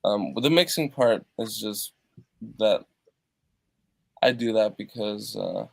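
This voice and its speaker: A man from Europe with a low, gravelly voice talks about his music on a video call. He speaks haltingly, circling back and filling gaps with "uh" and "like". The sound is dry and close, from his treated home studio. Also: no echo. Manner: halting, many fillers and restarts. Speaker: guest musician. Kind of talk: casual interview over video call.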